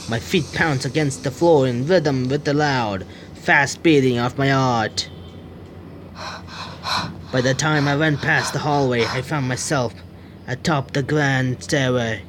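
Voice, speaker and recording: A man hosting a gaming video, reading game text aloud and commenting on it in a jittery, excitable way. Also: medium pitch, a little slow, a little hiss or hum. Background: game music.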